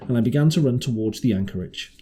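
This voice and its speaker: A man's voice: mid-range voice, lively intonation, quick pace, dark tone, a little hiss or hum. A man narrating an adventure novel as an amateur audiobook reader, now and then stumbling over a word.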